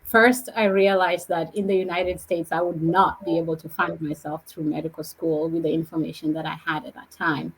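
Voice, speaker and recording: A woman from Kenya, a guest on a podcast, telling her story in a reflective, measured way. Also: low-pitched, little pitch movement, a little hiss or hum, rough recording. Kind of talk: podcast conversation.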